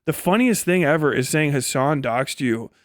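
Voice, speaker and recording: A man's voice: on the high side, animated, normal speed, no echo, clean studio sound. A man hosting a livestream with casual, unscripted commentary, talking bluntly and opinionatedly with heavy swearing as he responds to chat. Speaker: livestream host reading and answering chat.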